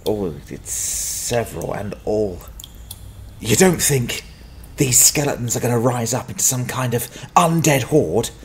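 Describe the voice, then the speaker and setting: An actor playing a hapless, wry adventurer who mutters and stammers in a dramatized fantasy audiobook. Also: male voice, medium pitch, lively intonation, unhurried, a little hiss or hum. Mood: grumbling, wary. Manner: muttering to himself, stammering, sardonic.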